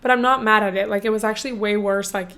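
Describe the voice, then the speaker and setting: This is a young woman in her twenties, talking alone into a podcast mic. Her voice is hoarse and a bit congested, and her pitch sits in an ordinary middle range. She chats casually and cheerfully, filling her sentences with "like" and "um". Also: steady tone, fast, fairly bright tone. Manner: chatty and bubbly, many "like" and "um" fillers. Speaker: podcast host. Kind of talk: casual solo podcast chat.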